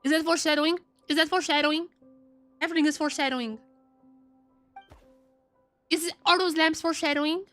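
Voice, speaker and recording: Female game streamer, high voice, chatty and casual, repeats herself for emphasis, music underneath. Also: fairly even intonation, fast, pauses often, fairly bright tone. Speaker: live streamer reacting to a game. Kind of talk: casual live game reaction commentary.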